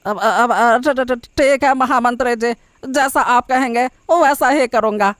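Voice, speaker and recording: A performer as a high-voiced, stammering, frightened healer man. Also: a little slow, fairly bright tone, clear, resonant tone, dry recording, studio quality. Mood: fearful. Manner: stammering, timid, hesitant. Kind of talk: Hindi cartoon story voice acting.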